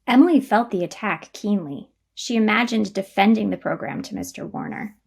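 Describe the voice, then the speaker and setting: A woman's voice: medium pitch, normal speed, gravelly, slightly rough. A woman performing as storyteller, narrating a scripted dramatized school story. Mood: measured narration. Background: guitar music.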